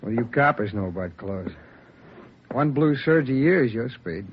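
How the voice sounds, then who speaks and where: A man's voice: mid-range voice, lively intonation, normal speed, close to an everyday voice. An actor playing an insolent prisoner in his thirties, sardonic and evasive, in 1950s radio drama style. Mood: annoyed. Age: 30s. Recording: muffled, faintly noisy old radio recording.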